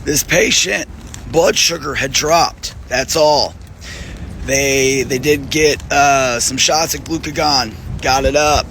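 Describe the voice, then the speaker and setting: A hoarse male nurse, losing his voice, giving an angry, profane video appeal and clearing his throat often. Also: medium pitch, slow pace, a bit bright, slight background noise. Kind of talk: direct-to-camera video appeal.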